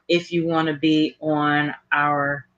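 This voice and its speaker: A podcast co-host with a slightly raspy, tired voice, making casual show announcements in a halting way that repeats words. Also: mid-range voice, flat delivery, fairly bright tone, lo-fi sound.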